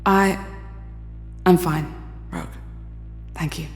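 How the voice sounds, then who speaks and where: A woman's voice: deep voice, slow. A woman playing a weary, self-doubting telepath in an audio drama, with a reserved, polite and careful delivery. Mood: weary, downcast. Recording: echoey hall reverb, music underneath.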